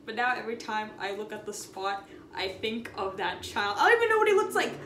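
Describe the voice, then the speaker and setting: A young woman telling a casual story in an expressive, slangy way, with dramatic emphasis and repetition. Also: medium pitch, a little fast. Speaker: storytime video host. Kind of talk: casual animated storytelling vlog. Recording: echoey room with audible background noise.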